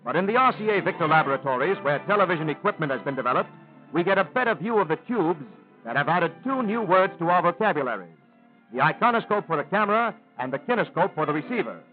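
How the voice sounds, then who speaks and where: A man's voice: on the high side, animated, quick pace, phone-quality sound, soft background music. A man with an American accent reading scripted narration in a formal, declarative 1930s newsreel announcer style. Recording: thin vintage 1930s film soundtrack.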